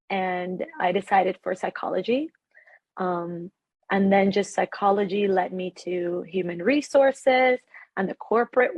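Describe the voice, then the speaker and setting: A woman from Ecuador, a podcast guest, talking in a reflective, animated way about her life. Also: medium pitch, no echo, highs cut off. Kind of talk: casual conversation.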